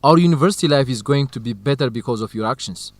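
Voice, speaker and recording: A man's voice: medium pitch, fast, no echo, close to an everyday voice. A man playing an earnest, supportive young university student in an educational radio drama, speaking reassuringly. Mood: earnest, inspired.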